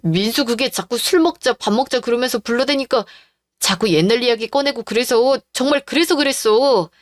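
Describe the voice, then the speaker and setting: A woman's voice: fairly high pitch, fairly quick, no room sound, lightly acted. A woman voicing a whining, pleading husband. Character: weak, pleading middle-aged cheating husband. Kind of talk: radio drama acting. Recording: dry studio voice-over with faint music.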